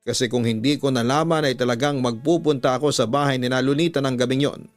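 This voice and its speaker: A man with a Filipino accent narrating a scripted story as a radio host, measured and deliberate, drawing out the ends of phrases. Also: mid-range voice, fairly even intonation, no echo. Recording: background music under a dry studio voice. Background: music.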